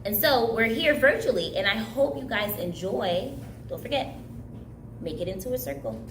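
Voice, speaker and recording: A woman's voice: on the high side, expressive, moderate pace, a bit bright, a little hiss or hum. Upbeat young woman in an echoey room. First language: Haitian Creole. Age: young adult. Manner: upbeat, chatty, laughs easily. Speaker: craft instructor. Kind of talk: casual craft tutorial. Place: indoor room, recreation center.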